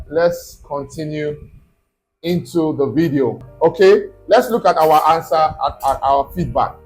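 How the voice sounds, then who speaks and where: A man, a study abroad consultant, talks his viewers through a screen tutorial. His voice sits on the high side and he keeps stopping to ask "okay?" or "right?". Faint music plays quietly under him. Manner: explaining step by step, checking in with "okay?".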